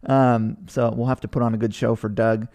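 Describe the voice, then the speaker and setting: A man from Hawaii in his thirties hosts a live online session, reading out viewer comments in a loose, rambling way. His voice is gravelly with a dark, mellow tone, and he talks over a computer microphone. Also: medium pitch, level tone, normal speed. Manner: rambling, chatty, many fillers and restarts. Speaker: webinar host.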